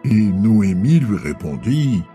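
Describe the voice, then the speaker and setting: A man's voice: on the low side, very animated, slow, warm tone, faint music behind. A man narrating a dramatised scripture reading as a scripted storyteller.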